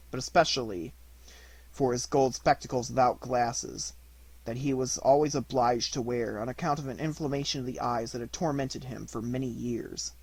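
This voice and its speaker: A man reading a storybook as scripted audiobook narration, in deliberate, measured phrases. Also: mid-range voice, husky, no room sound, rough recording. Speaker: audiobook reader.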